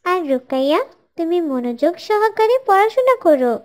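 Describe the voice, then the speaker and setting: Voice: very high voice, big pitch swings, moderate pace. A performer voicing a pious little schoolgirl in a cartoon, earnestly teaching others in a careful, preachy way. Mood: solemn, instructive. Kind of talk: scripted moral dialogue for an Islamic cartoon.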